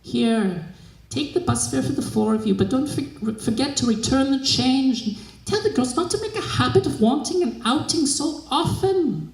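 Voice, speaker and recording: A man's voice: extremely high pitch, animated, normal speed, vocal fry, voice pushed up, full character voice. A man voicing a grouchy, curt woman, the disapproving mistress of a village household, in a literary reading. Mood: grudging. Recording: echoey room.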